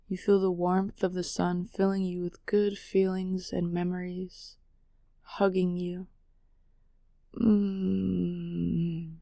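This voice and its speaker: A woman narrating a guided meditation in a soothing, measured way with long pauses. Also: lowish voice, warm tone, dry recording, clean studio sound.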